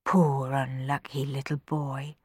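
A woman voicing a kind-hearted young woman who speaks gently in a storybook reading. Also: very deep voice, flat delivery, takes it slowly, husky, dry recording, studio quality, exaggerated voice. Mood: murmuring. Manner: gentle, murmuring.

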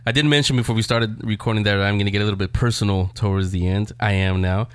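A man's voice: lowish voice, fairly even intonation, fast talker, smooth, dry recording. A man hosting a casual interview show, chatting in a joking, wisecracking way.

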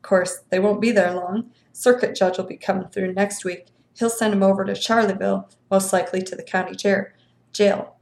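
A woman's voice: medium pitch, steady tone, moderate pace, vocal fry, darkish tone, echoey room, natural voice. A woman voicing a fat, sleepy male constable who wheezes and grumbles in a folksy rural drawl for a storybook reading. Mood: chatty. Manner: grumbling, long-winded, suspicious.

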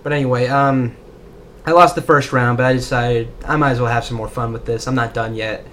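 A man's voice: medium pitch, steady tone, brisk, fairly bright tone, a little hiss or hum. A young man co-hosting a casual podcast, rambling and joking about himself as he talks. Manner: rambling, self-deprecating, jokey. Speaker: podcast co-host. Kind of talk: casual chat.

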